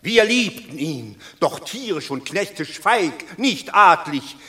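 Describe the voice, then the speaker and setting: An actor playing a fiery, scornful Roman patrician in a classical radio play, declaiming emphatically. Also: male voice, fairly high pitch, sing-song, slow pace, exaggerated voice. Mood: bitter scorn. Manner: declamatory, emphatic, swinging between hush and outburst. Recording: echoey hall-like radio drama studio.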